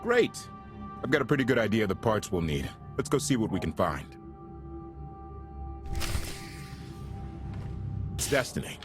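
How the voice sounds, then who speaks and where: A man's voice: lowish voice, very animated, fast. A man playing an earnest, idealistic deserter pilot in a video game, with natural conversational delivery. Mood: upbeat. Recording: faint music behind the voice.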